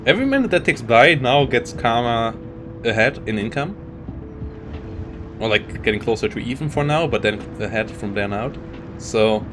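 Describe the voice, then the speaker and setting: Rambling man casting a strategy game. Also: medium pitch. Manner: rambling, self-interrupting, frequent restarts. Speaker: esports caster. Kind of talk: live game commentary.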